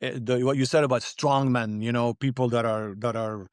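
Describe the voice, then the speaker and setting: A male podcast host from Lebanon talks conversationally with a guest and audience. His delivery is halting, with repeated words, at an ordinary male pitch. The recording is dry, with little room sound. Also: fairly even intonation, clear, resonant tone, no echo. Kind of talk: conversational interview introduction.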